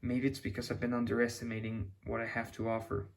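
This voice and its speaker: A man's voice: medium pitch, completely flat delivery, fast, vocal fry. Young Mexican-accented man, mid-range voice, thinks aloud in a loose vlog ramble with frequent restarts. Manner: rambling, searching for words, many restarts. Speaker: YouTuber. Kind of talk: unscripted reflective monologue.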